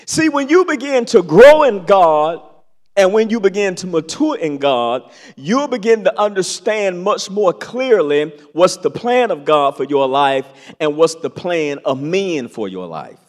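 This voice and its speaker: High-pitched, slow, animated preacher man. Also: in a large hall. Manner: preaching with dramatic pauses and rising emphasis. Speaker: pastor. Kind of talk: sermon. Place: large church sanctuary.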